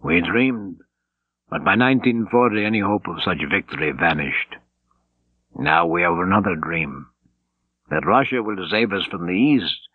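A man's voice: low voice, expressive, unhurried, slightly rough, no echo, heavily acted. A man voicing an urgent, earnest, weary eighteen-year-old resistance fighter in an audiobook. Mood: bleak. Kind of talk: audiobook character dialogue. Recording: dull, slightly lo-fi tape recording.

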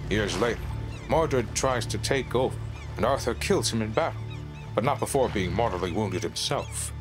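A man's voice: on the low side, expressive, normal speed, lightly acted. A man voicing an older, evasive smuggler who rambles and fumbles for words, in a fan-made audio drama. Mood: storytelling. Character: older male smuggler mentor, wry and evasive. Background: loud music score.

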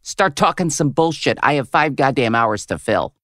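A woman in her fifties playing a crude, cynical radio host with a smoker's gravelly voice in a comedy audio drama. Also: a bass voice, animated, moderate pace, a bit bright, slight background noise. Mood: pushy, profane. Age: 50s. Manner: brash, foul-mouthed, switching to syrupy on air. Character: crude, cynical, hard-bitten 57-year-old female radio host.

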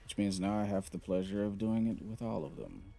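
A man with a low, gravelly voice hosts his own gaming video, talking casually as he plays. He rambles in a relaxed way, full of fillers and restarts, with faint game music underneath. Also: a little fast. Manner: laid-back, rambling, many fillers. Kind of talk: casual gameplay commentary.

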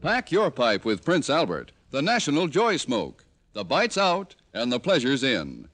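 A male American announcer from 1950s radio, with a bright, animated delivery and a polished salesman's manner. The vintage broadcast has faint music in it. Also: medium pitch, a little slow.

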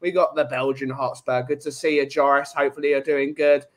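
A man's voice: medium pitch, level tone, a little fast. A man, hosting a casual chat show over a video call. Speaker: host. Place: home room with brick wall.

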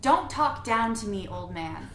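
Feisty, high-voiced young woman. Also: lively intonation, a little slow. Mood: defiant. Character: defiant, feisty young daughter, plucky and proud. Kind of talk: audio drama acting. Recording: echoey space, faint noise.

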